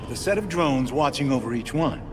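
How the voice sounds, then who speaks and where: An actor playing a calm, methodical male mentor giving a video game mission briefing in measured, formal, precise speech. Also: mid-range voice, background noise, lightly acted. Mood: calm, instructive.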